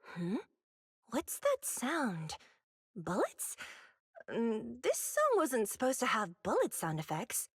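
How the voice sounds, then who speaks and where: Game heroine: spirited young idol singer, very expressive high voice with determined, dreamy streak. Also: female voice, slow pace, slightly rough, exaggerated voice. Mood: puzzled. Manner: expressive, dramatic.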